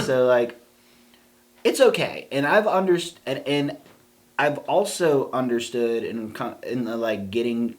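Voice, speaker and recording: A man in his thirties, chatting casually as a podcast co-host. He rambles, trips over his own sentences, and restarts them. He stays lively and animated throughout. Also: medium pitch. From southwestern Pennsylvania. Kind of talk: casual chat.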